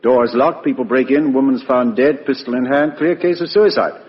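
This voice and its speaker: An actor playing a blunt, teasing British police inspector in a 1950s radio play, informal and matter-of-fact. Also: male voice, mid-range voice, moderate pace, lightly acted. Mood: brisk, businesslike. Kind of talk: 1950s radio drama acting. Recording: muffled, echoey 1950s radio recording.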